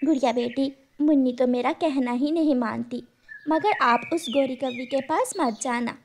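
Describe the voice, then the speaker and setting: A woman's voice: high voice, normal speed, noisy background. A woman voicing a caring but strict mother bird in a cartoon story, with a motherly, instructing manner. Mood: warning.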